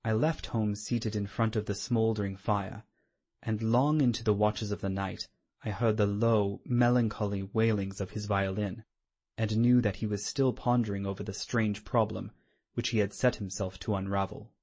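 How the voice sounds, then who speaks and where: Male narrator for classic fiction audiobook: lowish, slightly raspy voice, measured literary reading, dramatic but scripted. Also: fairly even intonation, a little mellow.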